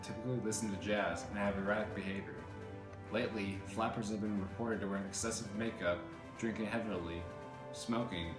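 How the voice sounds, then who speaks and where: A man reading a scripted mock news report as the anchor, with small stumbles in his reading. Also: fairly low pitch. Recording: roomy echo, music underneath.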